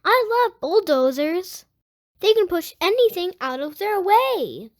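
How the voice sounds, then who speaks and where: A child host reading a picture book aloud for young listeners, addressing them directly in an excited way. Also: very high-pitched, a little slow. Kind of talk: storybook read-aloud with enthusiastic channel intro and sign-off.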